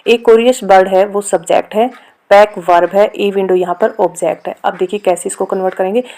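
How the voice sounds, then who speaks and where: A woman with an Indian accent explaining a lesson step by step as a teacher, reading out examples slowly and deliberately. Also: mid-range voice, steady tone, warm tone. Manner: instructional, reads example sentences slowly and deliberately. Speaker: grammar teacher. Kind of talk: step-by-step lesson explanation mixing Hindi and English.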